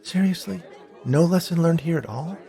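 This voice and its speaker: A man's voice: on the low side, wildly swooping pitch, quick pace, darkish tone, some chatter behind. A man voicing a preachy, frustrated female spirit bee in a story, chiding and incredulous. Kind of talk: storybook character dialogue.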